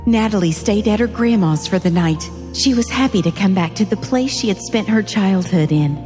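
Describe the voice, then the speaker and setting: A woman's voice: medium pitch, expressive, moderate pace, a little mellow, big-room sound. A woman narrating a scripted drama in a storytelling style. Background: music.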